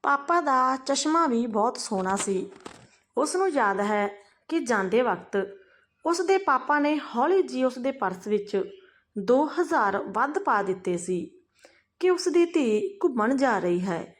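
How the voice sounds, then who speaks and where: A woman reading a scripted, emotional family story in an expressive, dramatized way. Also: high voice, a little slow.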